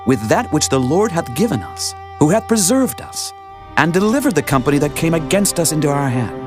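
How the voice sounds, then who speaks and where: Casting: earnest Hebrew war leader for scripture drama, fairly high pitch, swooping, commanding delivery. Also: male voice, very animated, brisk, music in the background. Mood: firm, impassioned.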